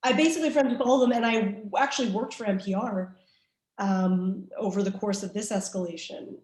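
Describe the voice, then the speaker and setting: A woman's voice: medium pitch, brisk, a little mellow, roomy sound. A woman from Canada, a journalist answering interview questions candidly, with many restarts and fillers. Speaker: journalist guest.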